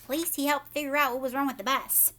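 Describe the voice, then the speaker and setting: High-voiced woman reading to children. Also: fast. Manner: animated, addresses young listeners directly. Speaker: read-aloud teacher. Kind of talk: storybook read-aloud with chatty asides to children.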